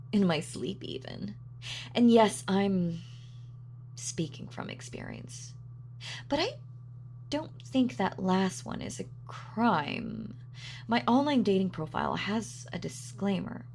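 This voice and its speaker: Slow, theatrical villainess, played by a woman, swooping pitch. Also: vocal fry, a little mellow, slight background noise. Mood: gloating, sadistic. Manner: theatrical, taunting, savoring her words. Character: sadistic, gloating female supervillain, mocking and theatrical. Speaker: voice actor. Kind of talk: scripted dramatic roleplay audio monologue.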